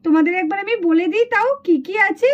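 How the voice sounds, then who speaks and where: A woman's voice: very high voice, fast. A woman voicing an instructive schoolteacher doll in a children's puppet cartoon. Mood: cheerful, instructive. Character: female schoolteacher doll running a contest. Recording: dull lo-fi sound.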